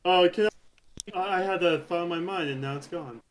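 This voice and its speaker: A man's voice: high voice, moderate pace, a little hiss or hum. A man co-hosting a show in casual chat. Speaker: podcast co-host.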